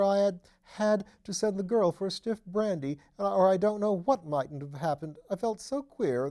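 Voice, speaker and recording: A man's voice: high voice, dark tone, pitched above the performer's own voice. A man voicing a small, nervous, apologetic man in an audiobook, deferential and fussy as he repeats himself. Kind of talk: audiobook character voice.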